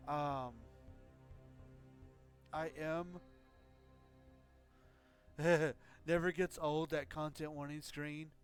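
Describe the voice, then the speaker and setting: A man live-streaming a game, talking casually with a scratchy throat and rambling explanations full of fillers. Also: on the high side.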